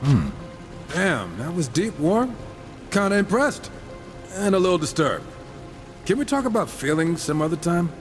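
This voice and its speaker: A man's voice: fairly high pitch, big pitch swings, moderate pace, long pauses, music in the background. An actor playing a wisecracking, irreverent horseman of the apocalypse in a game, with sarcastic, casual banter. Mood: wry. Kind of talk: video game cutscene acting.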